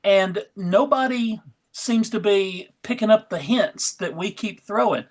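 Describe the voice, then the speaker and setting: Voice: medium pitch, a little slow. A convention panel member and organizer chatting casually in a group of friends.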